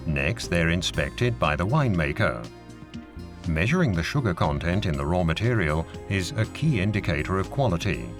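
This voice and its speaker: A man reading scripted narration for an educational film in a measured, formal and informative manner. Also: deep voice. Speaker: documentary narrator. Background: music behind the voice.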